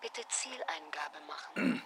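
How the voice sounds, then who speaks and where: A woman's voice: sits low, animated, slow pace, fairly bright tone, whispered, full character voice. A woman playing a repetitive, impersonal talking navigation gadget in a German radio drama. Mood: flat, insistent. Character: robotic female navigation device in a snow shovel.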